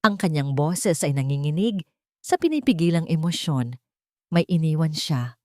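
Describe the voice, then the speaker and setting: A woman's voice: deep voice, moderate pace, dry recording, clean studio sound. A woman narrating a scripted audio drama in an emotive, theatrical storytelling manner.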